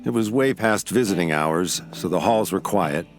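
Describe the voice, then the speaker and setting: An actor playing a laid-back, wry American lawyer and amateur sleuth in an audio drama, talking in a casual, understated way. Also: male voice, sits low, normal speed, soft background music. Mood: reflective narration. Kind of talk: audio drama dialogue and narration.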